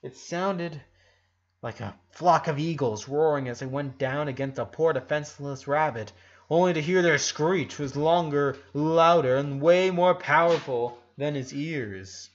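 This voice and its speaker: A man's voice: fairly high pitch, unhurried, lo-fi sound. A man narrating a fan fiction story aloud in a dramatic reading style.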